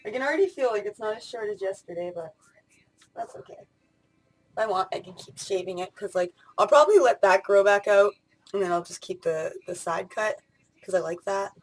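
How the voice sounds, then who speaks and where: A woman in her late twenties rambles casually to her camera, full of ums and restarts. Her pitch sits in an ordinary middle range, and she talks on a camera mic, probably at home. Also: animated, normal speed, rough recording. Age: 20s. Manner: rambling, spur-of-the-moment talk with many fillers. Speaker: vlogger.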